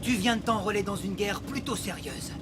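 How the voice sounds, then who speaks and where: A man's voice: high-pitched, expressive, normal speed, background noise. A man playing a hardened, grieving young American corporal in a French-dubbed war film, speaking in a curt, commanding way. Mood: stern.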